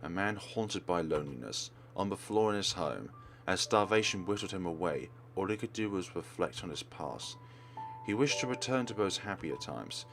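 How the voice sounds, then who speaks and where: A man's voice: low-pitched, expressive, moderate pace, fairly bright tone. A man narrating a let's play, reading a visual novel aloud as dramatic storytelling. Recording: game music behind voice.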